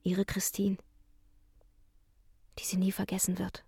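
Husky, pleading young woman. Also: mid-range voice, monotone, measured pace, mellow, no echo, exaggerated voice. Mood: pleading, sorrowful. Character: anxious young woman pleading in a farewell letter. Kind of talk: radio drama letter reading.

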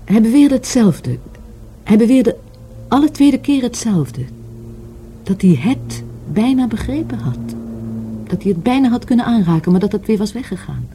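An actress in a Dutch radio drama playing a wary woman questioned about her dead friend, with a husky voice. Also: fairly high pitch, very animated, moderate pace, warm tone, a little hiss or hum. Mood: troubled.

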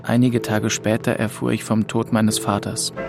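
A man's voice: lowish voice, little pitch movement, normal speed, gravelly. A man acting a melancholy, drifting twenty-two-year-old who narrates his travels introspectively in a literary radio drama. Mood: brooding, reflective. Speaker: narrator and lead actor. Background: music under the voice.

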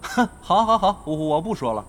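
A man playing a proud, sarcastic young tank player in a Chinese audio drama, mocking and quick to bristle. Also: high voice, very animated, fairly bright tone. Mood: irritated. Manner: mocking, haughty, challenging.

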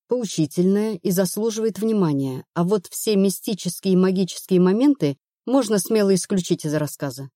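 A woman narrator playing a brisk, rational female investigator in an audiobook, blunt and assured. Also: medium pitch, dark tone, no echo, studio quality, natural voice. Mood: reassuring. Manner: matter-of-fact, assured.

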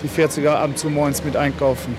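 A man's voice: a little high, little pitch movement, quick pace, background noise. A man who sells poultry at a market, talking colloquially in a casual interview.

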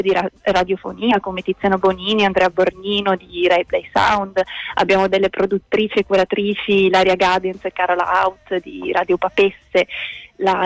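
A woman speaks as a guest in a radio interview, with a mid-range voice and a very dry, close sound. She is unscripted and hesitant, often pausing to find the word and repeating small words as she builds long explanations. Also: medium pitch, creaky, dry recording, poor audio. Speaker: interview guest, project curator.

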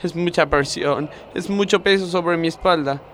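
A man's voice: fairly high pitch, moderate pace, a bit bright, a little hiss or hum, close to an everyday voice. A man from Argentina acting a naive, literal-minded young female gymnast with an earnest manner in a parody radio play. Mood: anxious. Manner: innocent, earnest, dim.

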